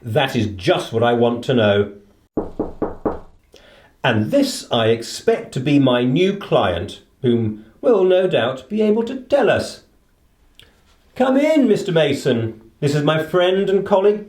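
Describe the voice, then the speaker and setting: An actor playing a keenly observant, self-assured gentleman detective in a radio play, formal, precise and inquisitive, with a British accent. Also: fairly high pitch, sing-song, slow, roomy sound. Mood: composed, businesslike.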